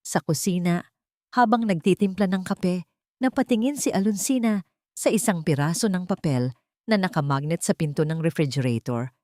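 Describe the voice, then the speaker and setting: A woman's voice: on the low side, normal speed, a little mellow, no room sound, clean studio sound. A woman with a Filipino accent giving a scripted, dramatic narration of a story.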